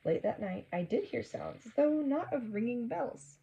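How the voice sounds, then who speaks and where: A woman reading a storybook aloud for children, gently engaging them with asides and questions. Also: fairly high pitch, expressive, unhurried, gravelly, husky, highs cut off.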